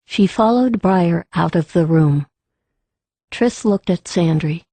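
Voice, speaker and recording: A woman reading scripted story narration for an audiobook in a measured way. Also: lowish voice, mellow, no room sound.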